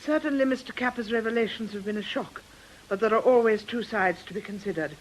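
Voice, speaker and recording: A woman acting in a radio drama as a composed, principled nun with a British accent and a measured, gently reproving manner. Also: on the high side, slight background noise, close to an everyday voice, poor audio. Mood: firm, indignant. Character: principled, composed nun, firm and fair-minded. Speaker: radio actor.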